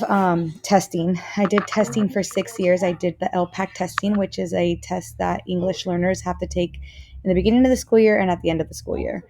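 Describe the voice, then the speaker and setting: Earnest woman, fairly low voice. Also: a little mellow, no echo. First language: Spanish. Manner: earnest, many fillers and restarts. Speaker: student teacher. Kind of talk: casual course introduction video.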